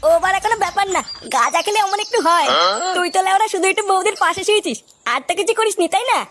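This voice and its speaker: Woman acting a piercingly high, cheeky cartoon boy. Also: very fast, fairly bright tone. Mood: knowing. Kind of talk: crude comedy cartoon acting.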